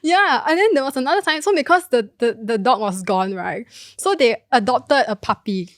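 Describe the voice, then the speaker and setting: A woman's voice: fairly high pitch, lively intonation, moderate pace, a bit bright, a little hiss or hum. A woman with a Singaporean accent, chatting casually and telling anecdotes as a podcast co-host.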